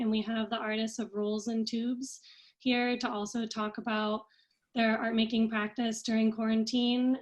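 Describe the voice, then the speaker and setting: A woman hosting an online arts event, giving informal announcements and thanks. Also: fairly high pitch. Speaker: event host for an arts organization.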